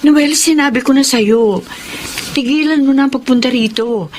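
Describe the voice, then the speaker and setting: A woman's voice: high voice, moderate pace, background noise, lightly acted. A woman playing a wary, hurt woman. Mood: guarded. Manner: hesitant, guarded. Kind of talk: radio drama acting.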